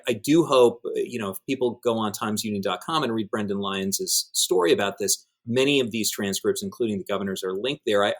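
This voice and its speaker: Low voiced guy, newspaper editor doing news commentary, wordy n measured, punches key words. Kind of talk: news discussion, conversational analysis.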